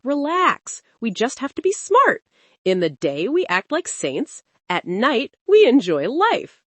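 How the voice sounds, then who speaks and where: A woman's voice: sits high, wildly swooping pitch, slow pace, a bit bright, no echo, voice pushed up, heavily acted. A woman voicing a cocky, persuasive teenage schoolgirl who leads her friends, in a confident, coaxing storybook manner. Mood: assured.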